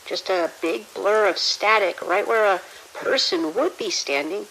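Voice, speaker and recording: Casting: actress as uneasy female night guard for horror audio drama, halting and stammering, mid-pitched, faint hiss and rain behind. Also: expressive, gravelly, a bit bright, low-quality recording. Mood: uneasy, rattled. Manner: hesitant, stammering delivery. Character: nervous, uneasy security officer on night shift. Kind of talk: immersive audio drama acting.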